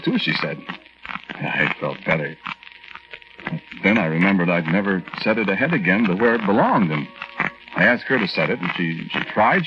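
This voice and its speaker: Muffled, crackly 1940s radio sound carries an actor as an anxious American ex-soldier with a low male voice. He confides his strange story haltingly, in plain colloquial talk, with lively swings of pitch. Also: close to an everyday voice. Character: nervous, haunted American war veteran telling his strange tale. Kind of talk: 1940s radio drama monologue, conversational first-person narration. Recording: noisy, muffled 1940s radio recording with clicks.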